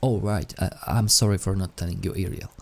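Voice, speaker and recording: A man playing an incubus demon in an intimate roleplay audio, with a husky, breathy voice that often drops toward a whisper. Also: sits low, steady tone, brisk, a little mellow. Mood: gentle, awkward, soothing. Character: incubus sleep paralysis demon, menacing turned awkwardly tender.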